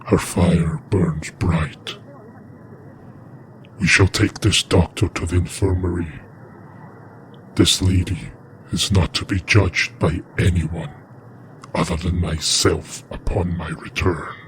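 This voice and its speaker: A man voicing a deep, slow, cruel lord. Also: fairly even intonation, background noise. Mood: amused, commanding. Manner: slow, commanding, menacing. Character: cold, cruel male lord of an invading realm. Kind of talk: audio drama acting.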